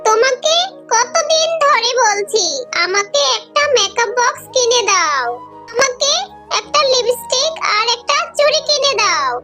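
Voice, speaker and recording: Little fox girl for a kids' cartoon: whiny, eager, tiny high bright voice. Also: lively intonation, a little slow, faint music behind, heavily acted. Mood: sulky. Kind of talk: children's cartoon voice acting.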